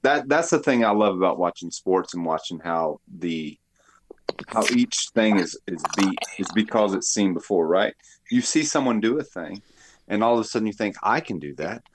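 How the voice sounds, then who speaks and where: Low-ish guy musing out loud in a podcast chat, keeps asking right?, dry sound. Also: lively intonation, moderate pace, no room sound, rough recording. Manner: reflective, musing, many tag questions. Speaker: podcast co-host. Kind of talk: casual group chat.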